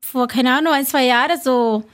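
A woman's voice: sits high, moderate pace. A woman in her forties chatting casually and candidly as a podcast guest, often repeating words as she searches for phrasing.

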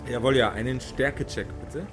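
A man's voice: medium pitch, brisk, faint music behind. A man running a tabletop game as game master, improvising narration for the players.

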